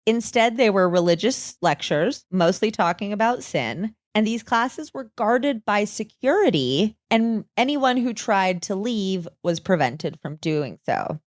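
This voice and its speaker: A woman's voice: medium pitch, animated, a little slow, hardly any pauses, no room sound, clean studio sound. A woman co-hosting a true-crime podcast, telling researched stories in an informal, wry way with casual swearing. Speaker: podcast co-host.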